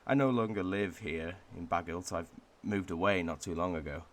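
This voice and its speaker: A man playing a wistful Welshman, delivering a pensive, measured monologue in a radio drama. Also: sits low, lightly acted. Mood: melancholy, conflicted. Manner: pensive, measured, with thoughtful pauses. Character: wistful Welshman reflecting on his home village and heritage.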